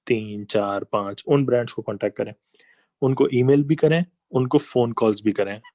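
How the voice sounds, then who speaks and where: A man talks through a business lesson over slides. His tone is conversational, moving from examples to asides as he explains each point. The voice is mid-pitched and recorded close and dry. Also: a little mellow, no room sound. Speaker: online business trainer.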